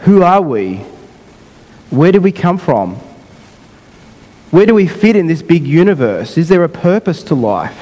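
A man preaching a sermon in an unhurried way, his voice croaky, often repeating and rephrasing himself. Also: on the high side, big pitch swings, mellow, big-room sound, rough recording. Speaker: pastor.

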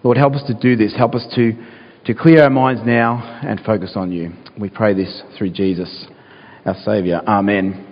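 A man with a croaky voice, earnest and pausing with fillers, praying and reading scripture as a pastor in a sermon. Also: fairly low pitch, steady tone. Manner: earnest, with frequent fillers and restarts. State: croaky, clearing his throat. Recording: dull sound, long church reverb.

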